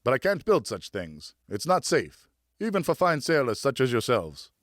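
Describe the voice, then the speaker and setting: A man's voice: medium pitch, huge pitch swings, moderate pace, a bit bright, no echo, clean studio sound. Man playing a kindly, firm blacksmith. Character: big, kindly but firm estate blacksmith.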